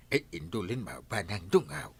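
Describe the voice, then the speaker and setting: A man's voice: on the low side, huge pitch swings, takes it slowly, gravelly, bright, forceful tone, breathy edge, dry recording, exaggerated voice. A man playing a grumbling, hot-tempered village husband in an Ilocano audio drama, with an Ilocano accent. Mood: sheepish, teasing.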